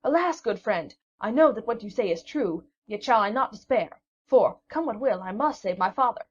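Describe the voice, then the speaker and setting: A woman's voice: medium pitch, big pitch swings, very fast, lightly acted. A woman voicing a brave young sailor who speaks eagerly and earnestly in a storybook reading. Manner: eager, exclaiming. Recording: dull lo-fi recording.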